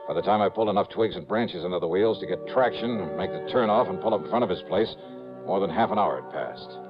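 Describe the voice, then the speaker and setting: An American actor playing a wry, hard-boiled investigator, narrating in a clipped, dramatic 1950s noir radio style. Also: male voice, deep voice, quick pace, lightly acted. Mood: tense, wry. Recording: muffled old radio recording, music underneath.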